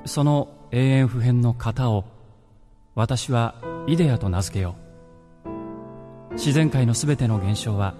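A grave ancient philosopher, played by a man, declaims in a mid-pitched voice. He is a solemn, impassioned idealist in a radio drama, with music clearly behind him. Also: slow, long pauses, lightly acted. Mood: solemn, earnest.

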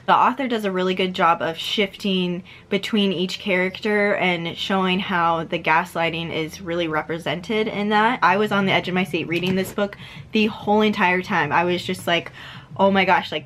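A woman's voice: on the low side, a bit bright, a little hiss or hum. Chatty young woman reviewing a book. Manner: chatty, heavy use of "like", reads from notes. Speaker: book vlogger. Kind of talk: casual book review to camera. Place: indoor room with dark backdrop.

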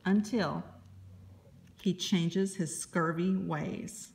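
A woman reading a children's picture book aloud as a librarian, in expressive, deliberate narration. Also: fairly low pitch, creaky, a little hiss or hum.

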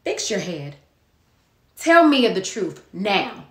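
Woman as a stern, bright-voiced watchful mom. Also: medium pitch, big pitch swings, slow pace. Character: stern, watchful mother checking on the children. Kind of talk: comedy skit. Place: doorway of a room, indoors. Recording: echoey room.

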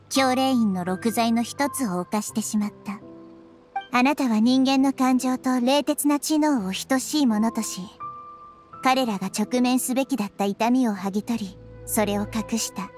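A woman voicing a small, wise young girl deity in a game, speaking in a measured, gently reproving way. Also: high-pitched. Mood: calm, reproving. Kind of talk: scripted Japanese game voice acting.

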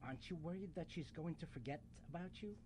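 A man acting a grumpy, defensive broken toy, gruff and dismissive, in a student radio play. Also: fairly high pitch, fast, darkish tone, close to an everyday voice. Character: grumpy, defensive broken male toy, a loner hiding away. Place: college radio studio, live broadcast. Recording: clear background noise in radio studio.

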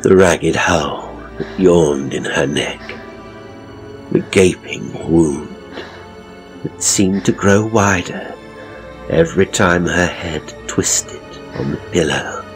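A man playing a pompous, theatrical ghost tour guide, telling gruesome tales with suspenseful, drawn-out phrasing in a horror audio story. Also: low voice, faint music behind. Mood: suspenseful, grim.

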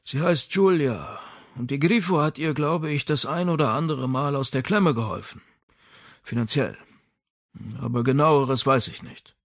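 A man's voice: medium pitch, unhurried, no room sound, voice pushed up. A man reads as a big, weary music professor in a crime audiobook. He sounds irritable and defensive, and the recording is very dry. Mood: evasive. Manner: tired, irritable.

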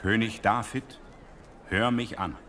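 An actor playing a solemn prophet who relays God's words in measured proclamation in an audio drama. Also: male voice, lowish voice, steady tone, fairly bright tone, slight background noise. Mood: grave. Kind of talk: audio drama acting, declamatory.

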